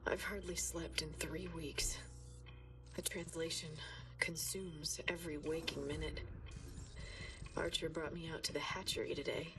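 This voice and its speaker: An actress playing an exhausted, haunted woman scientist confiding in a halting, intimate audio diary for a video game. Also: low voice, little pitch movement, recorded from a distance, music in the background. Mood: weary, disturbed.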